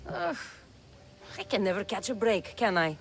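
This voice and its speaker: An actress voicing a tough, skeptical young woman mercenary in a video game, deadpan and blunt. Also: mid-range voice, normal speed, vocal fry. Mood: exasperated. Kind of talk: video game character acting.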